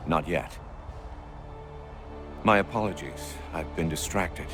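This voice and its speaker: An actor playing a grave, courteous military commander in a video game, formal, measured and authoritative. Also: male voice, deep voice. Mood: grave, wary. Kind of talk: dramatic video game acting. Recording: prominent music behind the voice.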